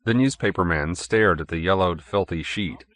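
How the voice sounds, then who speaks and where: A man's voice: sits low, moderate pace, a bit bright, no echo. A man reading scripted fiction as an audiobook narrator.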